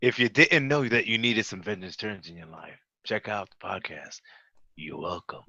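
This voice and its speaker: A man's voice: on the low side, moderate pace, gravelly, sharp tone, no echo, lo-fi sound. A man on a casual panel, bantering in a playful, emphatic way with repeated phrases. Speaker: show panelist.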